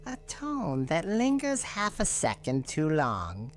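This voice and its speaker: A woman delivering scripted analog horror narration in short, deliberate, ominous phrases. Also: low-pitched, huge pitch swings. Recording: music behind the voice. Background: loud music.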